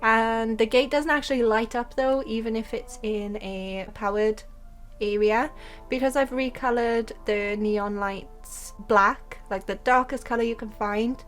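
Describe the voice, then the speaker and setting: A young Welsh woman with a Welsh accent, chatting excitedly about a game update and then calmly explaining her building steps. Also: a little high, a little slow, faint music behind. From Wales. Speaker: game content creator. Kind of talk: casual gameplay commentary.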